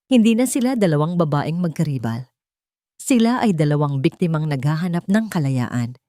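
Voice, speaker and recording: A woman's voice: lowish voice, dark tone, no echo, studio quality. A woman reading a scripted, dramatic love story as its narrator.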